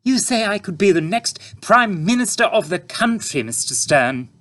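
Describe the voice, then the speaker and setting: A shrill, snobbish storybook mother, put on by a male reader who fawns over guests and snaps at her daughter. The voice sits far above his own and swings wildly. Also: big pitch swings, moderate pace, a bit bright, a little hiss or hum. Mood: shrill fawning. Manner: shrill, fawning, snappish. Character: snobbish middle-aged woman, social climber.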